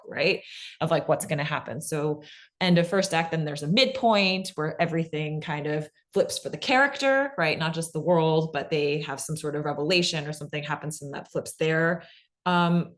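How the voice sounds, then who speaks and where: A woman co-presenting a webinar in an informal instructional way, conversational, often checking in with "right?" and dropping in "like". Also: low voice. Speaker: co-presenter of a fiction podcast pitching webinar.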